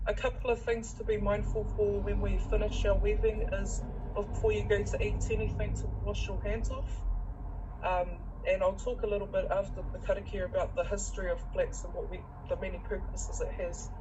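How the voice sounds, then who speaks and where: A woman's voice: mid-range voice, flat delivery, fairly quick, dull audio, background noise. A woman with a New Zealand accent leading a weaving session, talking in a chatty, encouraging way with many ums. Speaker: weaving facilitator and social worker. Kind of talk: friendly instruction in a group session.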